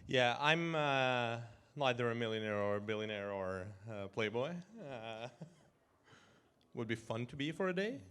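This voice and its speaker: A man in his forties from Iran who grew up in Norway, talking as a guest in an interview in an animated way, chuckling and restarting his sentences. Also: medium pitch, unhurried, smooth. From Iran, raised in Norway. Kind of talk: casual interview talk.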